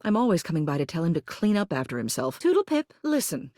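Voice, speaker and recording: A woman's voice: lowish voice, sing-song, fast talker, a little mellow, no echo, clean studio sound. A woman playing a pompous, meddling neighborhood mayor in a video game, genteel and theatrical in her manner. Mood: polite, airy. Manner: theatrical, pompous, genteel, addressing people as darling and dearest. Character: haughty, meddling neighborhood mayor, a living household object, grande dame. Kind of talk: video game character dialogue.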